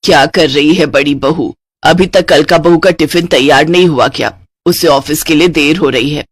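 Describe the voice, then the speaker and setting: A woman's voice: sits low, normal speed, breathy edge, no echo, pitched below the performer's own voice. A woman voicing a bossy, nagging middle-aged mother-in-law in a cartoon story.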